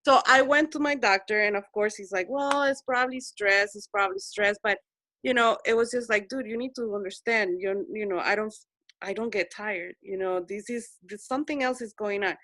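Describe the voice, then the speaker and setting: A woman's voice: mid-range voice, normal speed, pushed and bright, no echo. A woman in her forties from El Salvador, telling her own story on a video call in an earnest way and repeating herself for emphasis. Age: 40s. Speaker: Zoom meeting participant. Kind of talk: personal story in group video chat.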